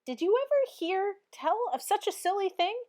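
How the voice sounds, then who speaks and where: A woman's voice: very high-pitched, big pitch swings, normal speed, a little mellow, pitched above the performer's own voice. A woman voicing a cheerful, naive, earnest housemaid in a storybook, with a folksy, exclaiming manner. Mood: musing, puzzled.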